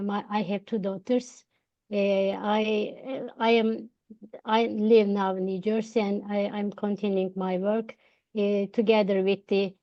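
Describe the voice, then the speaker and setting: A middle-aged woman from Turkey, speaking non-native English as a panelist on an online call, with many pauses and fillers. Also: medium pitch, warm tone. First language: Turkish. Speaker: panelist, women's rights advocate. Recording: dull lo-fi call audio.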